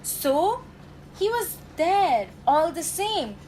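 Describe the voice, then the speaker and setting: A woman's voice: very high voice, very animated, extremely slow, darkish tone, a little hiss or hum, exaggerated voice. A teenage girl playing a sentimental, gossipy working-class factory girl in a radio drama, folksy and dramatic. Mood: excited gossip. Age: teenage high school student.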